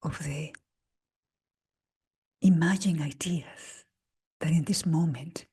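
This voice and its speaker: A woman leading a guided meditation in a breathy, husky near-whisper, speaking gently in short phrases with long pauses. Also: sits low. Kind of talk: slow guided meditation talk.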